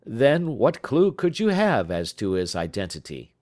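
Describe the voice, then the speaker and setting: A man voicing a modest, puzzled gentleman in an audiobook, politely questioning his clever friend. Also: mid-range voice, wildly swooping pitch, measured pace. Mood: curious, incredulous. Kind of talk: audiobook character dialogue.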